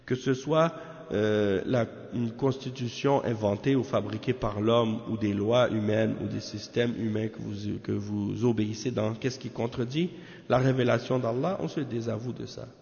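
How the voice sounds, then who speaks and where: A man from Canada giving a measured religious lesson on a text, often tagging his sentences with questions. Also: lowish voice, fairly even intonation, creaky, a little mellow. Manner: measured, peppers talk with tag questions. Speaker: religious teacher. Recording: echoey hall, dull lo-fi sound.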